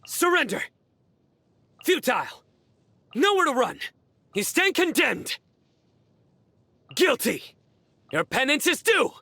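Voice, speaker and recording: An actor playing a stern, earnest young judge and desert warrior in a video game, speaking in a measured, formal, deadpan way. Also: male voice, on the high side, dry recording, heavily acted. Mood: fierce, commanding. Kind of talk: scripted video game character voice lines.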